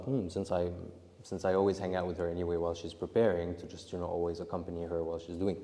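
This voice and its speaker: Very low, dark-voiced man, rambling. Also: big-room sound. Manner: rambling, restarts often, says "like" a lot. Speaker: interview guest, filmmaker. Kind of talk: conversational interview answer.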